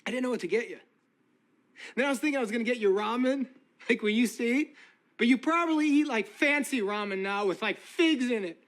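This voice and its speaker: A man's voice: extremely high pitch, fairly quick, fairly bright tone. A man acting a sentimental, defensive guy in a sitcom, rambling and repeating himself casually. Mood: worked up. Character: sentimental, defensive, scruffy-mannered man, emotional with his friend. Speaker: actor in a TV sitcom.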